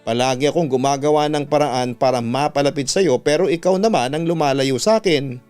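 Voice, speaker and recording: A Filipino man voicing a gentle, hurt younger sister who speaks earnestly and pleadingly in a narrated radio drama story. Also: on the high side, fairly quick, clear, resonant tone, faint music behind, lightly acted. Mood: hurt, tearful. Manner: earnest, plaintive.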